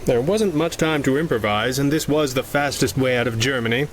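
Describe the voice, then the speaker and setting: A man playing an urbane, dry-witted gentleman hero in a radio drama, wry and well-spoken. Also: mid-range voice, a little fast, slight background noise, lightly acted. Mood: dry amusement.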